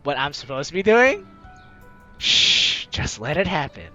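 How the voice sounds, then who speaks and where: A young woman hosting a let's-play, reading game text aloud and commenting on it. Her bright voice swings widely in pitch, with a theatrical, teasing manner, over faint music. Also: very animated, measured pace. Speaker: gaming video host.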